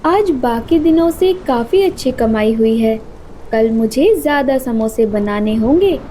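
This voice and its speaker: A woman's voice: fairly high pitch, lively intonation, unhurried, warm tone, slight background noise, pitched below the performer's own voice. A woman voicing a timid, humble female bird street seller in a children's cartoon, earnest and meek. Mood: content. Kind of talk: Hindi children's cartoon voice acting.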